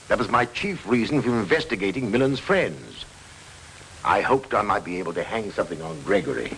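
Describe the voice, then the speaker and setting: A man playing a persistent, wry investigator who narrates in measured, dry 1940s film style. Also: medium pitch, a bit bright, slight background noise, rough recording. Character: dogged middle-aged male investigator, wry and persistent. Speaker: detective narrator.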